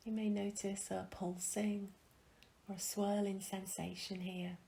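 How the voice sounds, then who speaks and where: A woman leading a guided meditation in a gentle, calm, soft and breathy voice, with long pauses, often trailing into a whisper. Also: medium pitch. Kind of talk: guided meditation, soothing instruction.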